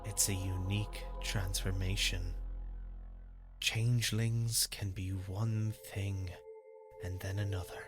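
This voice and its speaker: Creepy castle physician, slow deliberate speech, unctuous, morbidly fascinated, dark fantasy drama, music behind. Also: male voice, deep voice, level tone. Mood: sly fascination. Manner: unctuous, deliberate, chuckling menace.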